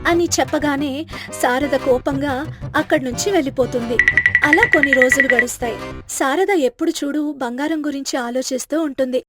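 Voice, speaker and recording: A woman's voice: high-pitched, moderate pace, a bit bright, soft background music. A woman reading scripted story narration as a storyteller.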